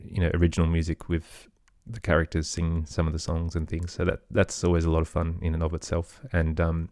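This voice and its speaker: A man's voice: low-pitched, monotone, brisk, darkish tone, no room sound, clean studio sound. A man with an Australian accent, chatting casually as an interview guest about his work. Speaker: interview guest, children's podcast creator.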